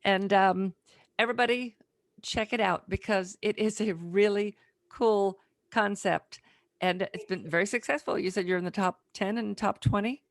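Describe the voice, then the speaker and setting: A woman's voice: medium pitch, normal speed, no room sound. A middle-aged woman from the United States hosting a podcast in a casual, conversational interview style. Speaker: podcast host.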